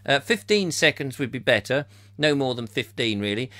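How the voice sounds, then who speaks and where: A man hosting a comic live chat show, playful and theatrical, slightly hoarse, slipping into silly voices. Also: medium pitch, animated, normal speed, pushed and bright, dry recording, studio quality. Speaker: comedy chat show host.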